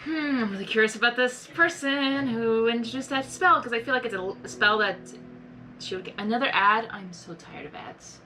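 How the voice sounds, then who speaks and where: A woman's voice: on the high side, brisk, bright, forceful tone, a little hiss or hum, rough recording. A woman hosting a live reaction video, making chatty, playful asides.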